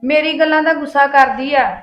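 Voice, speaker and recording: A patient, gently scolding sister-in-law, played by a woman. She speaks with a Punjabi accent in a high voice, coaxing rather than harsh. Faint music runs underneath. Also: moderate pace. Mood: earnest. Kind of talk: comic family skit acting.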